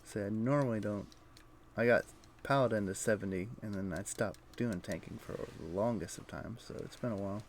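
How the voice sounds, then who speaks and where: A man streaming a video game, thinking aloud in a low-key, conversational way with casual commentary. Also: fairly quick, a little hiss or hum. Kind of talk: casual live gameplay commentary and chat.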